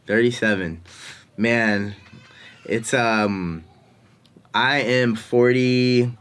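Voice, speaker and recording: A man in his forties hosts a casual podcast chat in a mid-pitched voice. He rambles along, laughs easily and loses his thread now and then. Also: very slow, fairly bright tone, smooth. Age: 40s. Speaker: podcast host.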